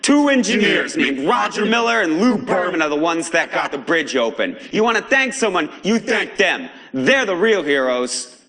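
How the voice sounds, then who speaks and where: A man's voice: high voice, very animated, moderate pace, pushed and bright, exaggerated voice. A man with an American accent voicing a fiery, angry and defiant underground broadcaster in a video game, speaking in an emphatic, streetwise, preachy way. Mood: suspicious, indignant. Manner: emphatic, streetwise, preachy, swings between outrage and gravity. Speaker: pirate broadcaster. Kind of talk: video game voice acting, pirate broadcast monologue.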